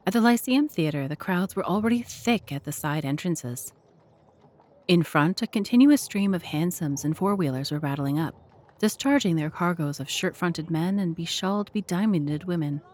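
A woman's voice: fairly low pitch, animated, moderate pace, a little mellow. A woman narrator and host reading scripted narration for an audio drama.

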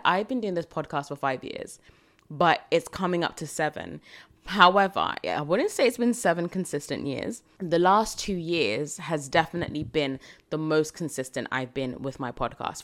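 A woman in her twenties, raised in Britain after a childhood in Jamaica, hosting a solo podcast Q&A in a chatty, reflective way with rambling asides. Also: low voice. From Jamaica, raised in the UK from age seven. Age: 20s. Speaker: podcast host. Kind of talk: solo podcast Q&A, casual chat.

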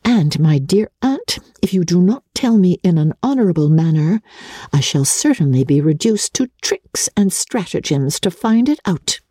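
Woman playing a keen young gentlewoman, lively pitch. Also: normal speed, husky, no echo, clean studio sound. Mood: playfully determined. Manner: formal, earnest, articulate. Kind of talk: dramatic classic novel reading.

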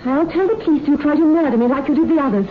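A woman's voice: sits high, very fast, lightly acted. An actress in a 1960s radio drama playing a cold, deranged female strangler, clipped, commanding and menacing. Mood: menacing, triumphant. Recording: noisy, tinny old radio recording.

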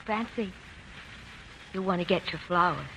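An actress playing a prim, genteel schoolteacher, proper and instructive, in a 1940s radio drama. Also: female voice, fairly low pitch, a little slow, gravelly, a bit bright, rough recording. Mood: gentle, coaxing. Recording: vintage 1940s radio, faint noise.

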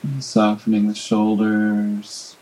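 A man leading a guided body scan meditation in a gentle, unhurried manner with long pauses. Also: fairly low pitch, fairly even intonation, low-quality recording.

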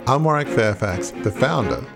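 A man's voice: mid-range voice, expressive, moderate pace, creaky, loud music behind the voice. A man hosting an interview show who asks long, rambling questions with restarts. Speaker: host.

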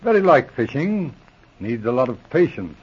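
An actor playing a calm, methodical senior police inspector in 1940s radio drama, explaining things with measured authority. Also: male voice, medium pitch, husky. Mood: methodical, confiding. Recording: dull, muffled old radio recording.

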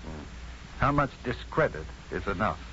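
An actor playing an assured, wry, kindly physician and professor in a 1950s radio drama, speaking in a measured, dryly witty, deliberate way. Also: male voice, deep voice, sing-song, pushed and bright, full character voice. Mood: calm, evasive. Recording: muffled, faintly noisy 1950s radio broadcast.

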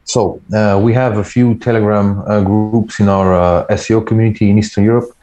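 Man, Ukrainian accent, low voice, measured, echoey room. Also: fairly even intonation, warm tone, faint music behind. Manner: measured, translating with fillers. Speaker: interpreter and guest. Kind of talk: interview answers over a call.

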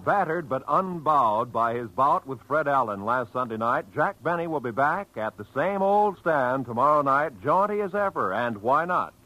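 A man's voice: mid-range voice, expressive, moderate pace, hardly any pauses, sharp tone, soft background music, rough recording. An American man announcing on network radio in a polished, formal 1940s style.

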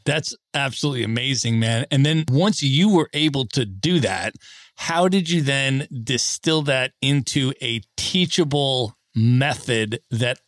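A male podcast host asks questions with quick enthusiasm. His voice is mid-pitched and recorded dry and close, with no room sound. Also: fairly bright tone, no echo. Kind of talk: interview questions.

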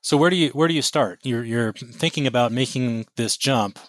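A man's voice: mid-range voice, moderate pace, a bit bright, no echo. A man hosting a conversational business interview, sounding stuffed up with a head cold. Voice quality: congested.

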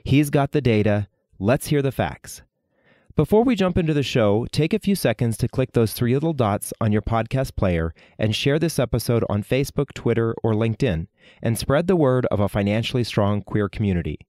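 A man's voice: mid-range voice, lively intonation, normal speed, warm tone, no room sound, studio quality. A male podcast host delivering a scripted intro and then asking questions with emphatic energy.

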